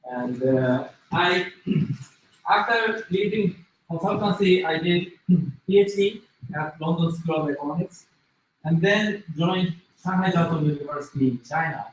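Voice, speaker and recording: A man with a Japanese accent lecturing to a class in a deliberate, halting way, as a native Japanese speaker. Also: fairly high pitch. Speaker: business school lecturer. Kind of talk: interactive demo lecture with self-introduction. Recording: very echoey room, distant mic, faint noise.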